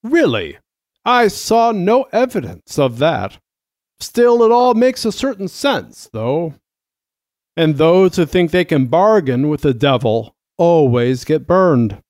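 A man's voice: a little high, big pitch swings, slow pace, a little mellow, no echo, clean studio sound. A man playing a stern old cardinal in an audio drama, grave, suspicious and zealous, with a sermonizing manner.

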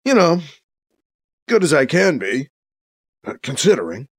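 A man's voice: a little high, sing-song, moderate pace, no echo, clean studio sound, voice pushed up. A man voicing a dejected, distracted male character in a story, hesitant and trailing off. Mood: weary.

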